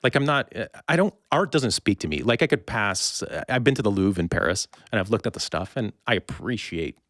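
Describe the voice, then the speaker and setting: A man's voice: fairly low pitch, animated, a little fast, fairly bright tone, no echo, clean studio sound. A man hosting a podcast interview, talking casually in a reflective, candid and rambling way.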